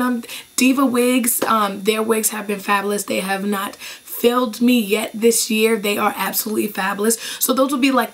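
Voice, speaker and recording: A young woman with a hoarse voice after being ill, chatting enthusiastically through a beauty product review in a small bedroom. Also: fairly high pitch. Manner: chatty, enthusiastic, repeats praise. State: hoarse, losing her voice after being sick. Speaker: beauty vlogger. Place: small bedroom with clothes racks behind.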